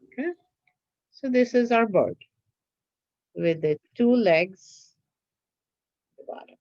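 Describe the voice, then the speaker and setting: A woman's voice: a little high, expressive, slow, no room sound, muffled recording. A woman teacher giving step-by-step craft instructions to children in a patient, repetitive way, often checking that they follow.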